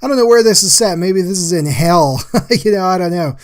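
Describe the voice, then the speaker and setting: Male artist-host, a little high in pitch, informal and chatty, casual art recap narration. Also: lively intonation, brisk. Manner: chatty, informal, addresses viewers as guys. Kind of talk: casual drawing recap voice-over.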